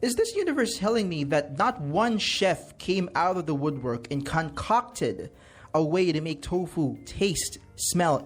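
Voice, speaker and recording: Expressive higher-pitched man giving opinions. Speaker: guest commentator. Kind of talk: opinionated commentary.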